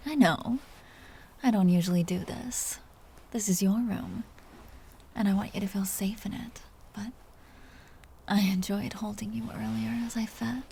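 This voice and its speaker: A woman voicing a possessive, tender vampire countess in a soft, breathy whisper, in an intimate ASMR roleplay with slow, soothing, teasing endearments. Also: medium pitch, fairly even intonation, slight background noise. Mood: vulnerable, wistful.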